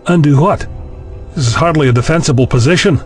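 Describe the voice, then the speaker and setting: A man's voice: on the high side, fairly quick, soft background music, close to an everyday voice. A man voicing a sardonic, skeptical young official in an audio drama, dry and sarcastic.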